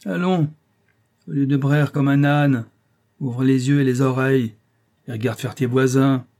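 A man's voice: lowish voice, normal speed, darkish tone, slightly rough. A man voicing a menacing violin teacher of about thirty in an audiobook story, barking orders and scolding. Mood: cold, scolding.

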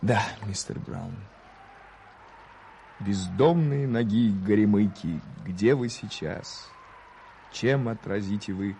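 A low, mellow male voice playing an ironic gentleman journalist in an old radio play. He is an eager, theatrical storyteller who declaims with emphasis. The tape has an audible hiss behind him. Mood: declamatory, brooding. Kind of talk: 1980s Soviet radio drama acting.

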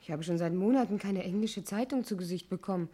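Actress as a sceptical insistent niece, husky breathy voice, medium pitch, pointed delivery, 1960s German radio drama. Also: female voice, quick pace, warm tone, natural voice. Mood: puzzled, insistent. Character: suspicious, insistent adopted niece of a missing aunt.

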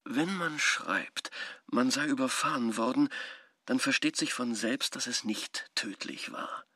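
An actor playing a shrewd middle-aged economist in a radio play, reasoning in a deliberate, pedantic way with a gravelly, husky voice. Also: male voice, mid-range voice, bright, forceful tone, no room sound, studio quality. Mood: puzzled, reasoning. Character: shrewd middle-aged Kiev economist puzzling over a telegram.

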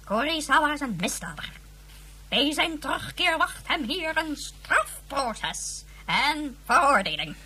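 A woman's voice: high voice, sing-song, measured pace, pushed and bright, exaggerated voice. An actress playing a bitter, furious female president of a moon colony in a radio play, scathing and sarcastic. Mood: indignant.

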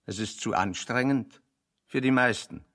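An actor playing a dry, opinionated man in a German radio play, reasoning with deadpan, sardonic deliberation. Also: medium pitch, a little slow.

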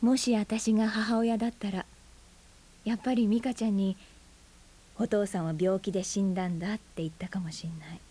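A woman playing a blunt, guarded aunt with buried grief in a Japanese radio drama, speaking casually in a husky, breathy voice. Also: medium pitch, steady tone, brisk, warm tone, close to an everyday voice. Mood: quiet, sorrowful. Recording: 1990s FM radio drama on cassette.

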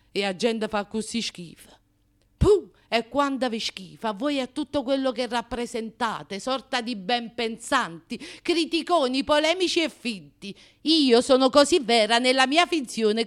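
A vain, wounded Neapolitan woman in a comic radio play. Her voice sits very high and carries a Neapolitan accent. She confides in the listener with passionate, self-mocking theatricality and colloquial, emphatic phrasing. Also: fairly bright tone. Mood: scornful, proud. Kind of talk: radio drama comic monologue.

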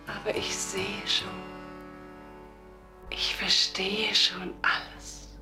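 An actress playing a meek, devout, gravely ill wife in a radio drama, solemn and fervent, halting and at times dropping towards a whisper. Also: female voice, medium pitch, flat delivery, over music. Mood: tender, wistful.

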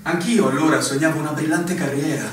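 A man's voice: on the high side, fairly quick, natural voice. A man playing a resigned, world-weary veteran army captain in a radio drama, with a formal, consoling manner. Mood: resigned, confiding. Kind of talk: stage-style radio drama acting. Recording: very echoey, distant, faint noise.

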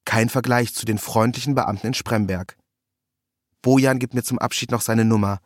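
A man's voice: mid-range voice, fairly even intonation, moderate pace, no room sound. Male narrator, literary first-person reportage, wry and ironic, very dry sound. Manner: wry, ironic delivery with acted quotes.

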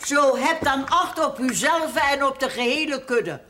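A woman's voice: sits high, unhurried, bright, forceful tone, a little hiss or hum. An actress playing a pious, admonishing woman who declaims Bible verses in a television drama, on an old soundtrack. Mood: sternly pious. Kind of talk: 1970s Dutch television drama acting.

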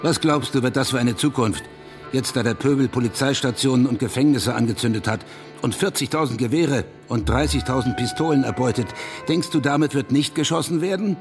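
Man playing a bitter, accusing reactionary over loud music. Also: mid-range voice, brisk, close to an everyday voice. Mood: foreboding, bitter. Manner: accusatory, rhetorical. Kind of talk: dramatic radio play monologue. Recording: studio radio play with loud music.

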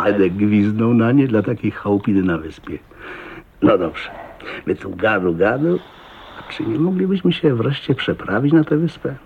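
An actor in a radio drama playing a talkative, blunt, jovial old self-made millionaire with a husky voice and a folksy manner. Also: male voice, lowish voice, expressive, moderate pace, a little hiss or hum. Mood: surprised, frank. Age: older. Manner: garrulous, folksy, blunt, with chuckles and exclamations. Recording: muffled thin narrow-band radio recording.

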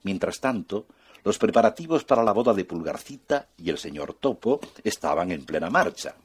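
Expressive husky storyteller man. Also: lowish voice, normal speed. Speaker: narrator. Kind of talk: children's storytelling.

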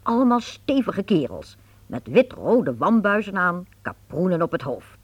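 A narrator telling a radio play story in a lively, descriptive manner. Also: medium pitch, unhurried, slightly rough, no room sound.

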